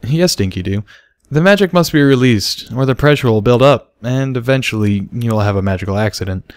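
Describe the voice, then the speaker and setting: Storybook stallion mentor, read by a man: careful, hesitant, explanatory, lively pitch, dry close sound. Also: fairly quick, no echo, natural voice. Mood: gentle, explaining. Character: awkward, careful adult male pony mentor.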